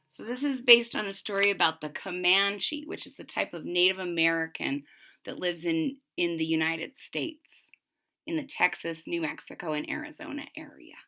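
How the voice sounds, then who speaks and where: A woman reading a children's story aloud expressively, deliberately, with explanatory asides to young listeners. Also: fairly low pitch, a bit bright.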